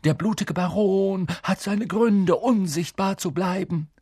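A man plays a boy wizard posing as a ghostly baron in a storybook. The voice is lifted high, hoarse and croaky. It commands in a secretive, stern manner. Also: unhurried, a little mellow, dry recording, clean studio sound. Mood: stern, bluffing.

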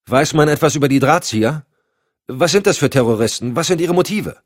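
A man's voice: mid-range voice, big pitch swings, fairly quick, no echo, studio quality, pitched above the performer's own voice. A man voicing an outspoken, inquisitive special agent in an audiobook, eager and questioning. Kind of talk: audiobook dialogue.

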